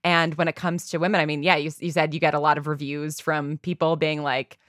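A woman's voice: sits low, flat delivery, fairly quick, a bit bright, no room sound, clean studio sound. A woman talking casually as a podcast guest and writer, thinking aloud and repeating words as she goes. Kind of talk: casual conversational interview.

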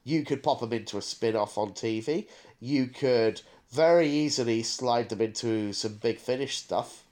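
A man's voice: mid-range voice, moderate pace, darkish tone. A man co-hosting a podcast in casual chat, thinking aloud as he talks at length. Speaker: podcast co-host.

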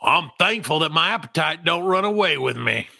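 A man reading the part of a stubborn old grandfather in a play, gruff and snapping. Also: a little high, expressive, moderate pace, pushed and bright, full character voice. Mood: grumbling.

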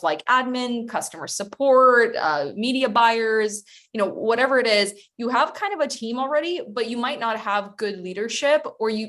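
A woman's voice: on the high side, fairly bright tone. Chatty woman consultant on a video call. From Ukraine. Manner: chatty, with frequent small laughs and restarts. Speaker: recruitment consultant. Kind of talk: conversational webinar presentation.

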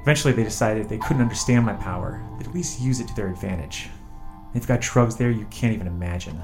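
A man's voice: on the low side, quick pace, over music, natural voice. A man playing a wary, grieving former test subject with psychic visions in an audio drama, speaking in an earnest, defensive way. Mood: guarded, confiding.

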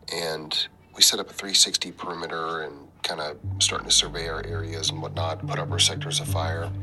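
A middle-aged man telling his own story in a loose, rambling way, full of likes and you knows, often dropping to a near whisper. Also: sits low. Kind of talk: casual spoken recollection. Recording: music bed under the voice.

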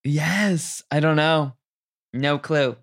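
Low-voiced woman co-host, chatty and tipsy. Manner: chatty, thinks aloud with restarts, quick bursts. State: tipsy from drinking. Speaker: podcast co-host. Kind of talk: casual chat.

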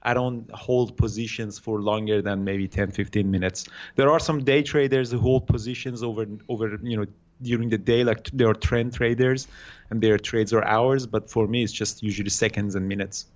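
A man in his thirties from Iran with an Iranian accent, answering interview questions haltingly with many fillers and restarts. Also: fairly low pitch, fairly even intonation, muffled recording. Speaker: guest day trader.

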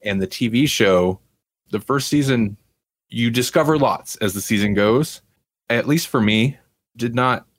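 A man's voice: medium pitch, a little slow, no room sound. A man hosting a casual podcast about television, talking in a conversational way with rambling explanations. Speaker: podcast host. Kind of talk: casual TV review chat.

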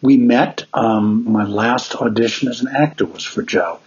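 A man's voice: medium pitch, measured pace, darkish tone. An older man, a theater director, talking in an interview. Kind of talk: interview talk. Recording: dull lo-fi sound with clear noise.

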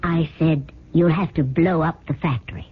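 A woman's voice: low voice, moderate pace, breathy edge. A woman playing a cold, persuasive scheming girlfriend in a 1970s radio drama, insistent and matter-of-fact. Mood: coolly persuasive. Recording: lo-fi phone-like old radio recording.

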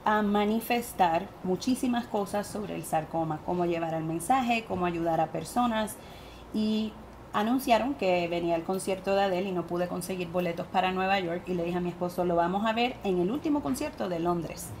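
A woman with a Puerto Rican accent, a guest medium telling her story in an animated interview. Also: on the low side, moderate pace, slight background noise. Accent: Puerto Rican Spanish. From Puerto Rico.

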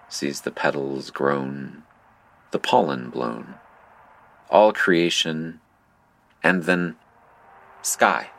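An actor playing a solemn, ominous game-master guide, narrating a surreal audio drama in grave, poetic second person. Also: male voice, a bass voice, fairly bright tone, a little hiss or hum, exaggerated voice, rough recording.

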